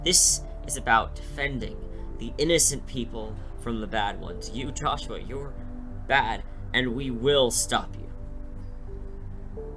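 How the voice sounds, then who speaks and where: A woman playing an earnest, anguished male hero in a scripted audio drama, with a strained, halting, pleading delivery. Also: a bass voice, a bit bright, faint music behind, full character voice. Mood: anguished, resolute.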